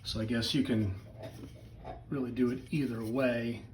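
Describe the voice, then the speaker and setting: A man's voice: medium pitch, little pitch movement, a little slow, a little mellow, a little hiss or hum, poor audio. A man giving a casual, unscripted hobby product demo in a hesitant, rambling, plain-spoken way. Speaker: hobbyist video presenter.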